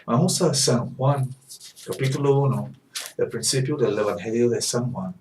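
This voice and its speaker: A man whose first language is English delivers a teaching sermon, earnest and halting, often correcting himself mid-phrase. His voice sits at an ordinary pitch. It is recorded in a roomy, echoing space from some distance off the mic. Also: darkish tone, a little hiss or hum. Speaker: preacher. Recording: echoey room, distant mic.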